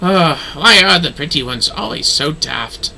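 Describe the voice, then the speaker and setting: A man voicing a bullying, haughty temple knight from a fantasy video game, loud, indignant and barking. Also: on the high side, lively intonation, unhurried, gravelly, slight background noise, exaggerated voice, rough recording. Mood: exasperated menace. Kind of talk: video game dialogue read aloud.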